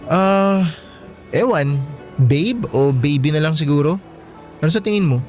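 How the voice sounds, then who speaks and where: A high-pitched young Filipino man acting as a schoolboy boyfriend in a radio drama. He is blunt and insistent, yet playful and sweet, with faint music behind him. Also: animated, unhurried, a little mellow.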